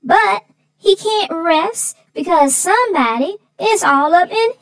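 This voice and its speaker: A woman voicing a sassy, folksy female sidekick who chats theatrically about a children's story with drawn-out exclamations. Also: extremely high pitch, animated, fairly bright tone, no room sound, pitched above the performer's own voice, heavily acted. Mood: sassy, amused. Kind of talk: bantering discussion of a children's story.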